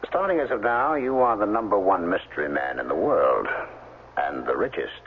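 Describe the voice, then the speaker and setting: An actor in a 1970s radio drama playing a fat little scheming boss, assured and deliberate, on a muffled, reverberant old recording. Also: male voice, low voice, animated, slight background noise. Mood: smugly commanding.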